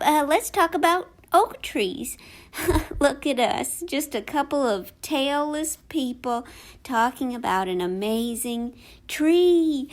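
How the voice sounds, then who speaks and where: A woman playing a defensive, food-obsessed squirrel posing as a human in a comic monologue, halting and over-insistent. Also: high-pitched.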